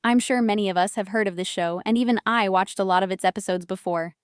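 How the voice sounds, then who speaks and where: Woman video host, casual reaction commentary, smooth clean voice, very dry sound. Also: mid-range voice, fast, no room sound.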